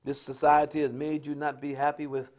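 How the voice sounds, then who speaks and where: High-voiced, emphatic American man preaching off the cuff. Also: level tone, a little fast, darkish tone. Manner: emphatic, repetitive, colloquial storytelling. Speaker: speaker on a religious talk live stream. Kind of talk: impromptu preaching-style social commentary.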